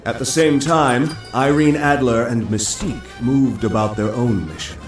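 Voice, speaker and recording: A man's voice: mid-range voice, a little slow, recorded from a distance, faint music behind. A man reading scripted dramatic narration for an audio drama.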